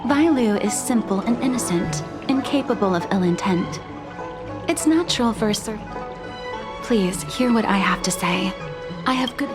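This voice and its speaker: An actress playing a polite, anxious and dutiful maid in a video game, formal and deferential. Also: female voice, on the high side. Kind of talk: video game dialogue acting.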